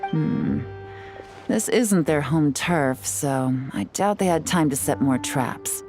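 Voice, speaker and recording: An actress playing a cool, self-assured female investigator in a video game, a shrewd mentor with a measured, knowing delivery. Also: deep voice, lively intonation, darkish tone, soft background music. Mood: wary, confident.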